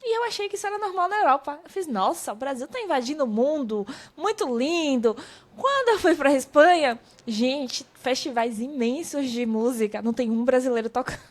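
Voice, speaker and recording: Brazilian portuguese woman, high voice, chatty host, animated storytelling, quotes herself. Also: brisk. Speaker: podcast host. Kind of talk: casual conversational interview.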